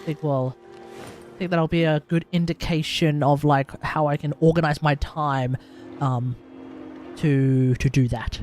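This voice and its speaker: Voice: mid-range voice, steady tone, a little slow, a little mellow, soft background music. Chatty Australian streamer, rambling aloud. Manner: rambling, self-correcting, chatty. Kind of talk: casual live-stream commentary.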